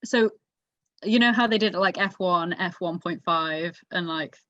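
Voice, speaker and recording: A woman's voice: lowish voice, unhurried, a bit bright. A woman chatting casually about motorsport as a podcast co-host, giving her opinions emphatically. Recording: echoey room, dull lo-fi mic.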